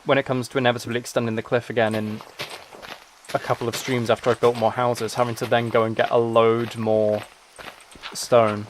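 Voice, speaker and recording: A man's voice: medium pitch, flat delivery, a little fast, a little hiss or hum. A tired-sounding man chatting casually on a gaming livestream, rambling and interrupting himself as he replies to viewers. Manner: rambling, self-interrupting, replying to chat comments. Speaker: livestreamer and musician.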